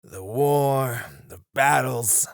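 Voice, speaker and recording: A man's voice: medium pitch, extremely slow, gravelly, dry recording, studio quality. A man playing a seasoned knight, a wistful, teasing sparring comrade in a romantic roleplay, with reflective, hesitant phrasing. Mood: teasing, curious. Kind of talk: romantic ASMR roleplay acting.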